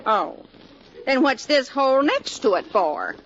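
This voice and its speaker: An actress playing a loyal, sensible housemaid in a 1940s radio sitcom, with a deadpan, tactful manner. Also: female voice, high-pitched, unhurried, heavily acted. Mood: dry, skeptical. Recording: muffled, noisy radio recording before audience.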